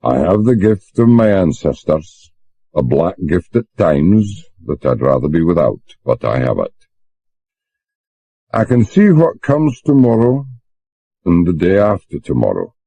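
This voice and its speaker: A man voicing a grave, steady older sailor with a Scottish accent, speaking slowly and with measured calm. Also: low voice, breathy edge, no room sound, muffled recording, full character voice.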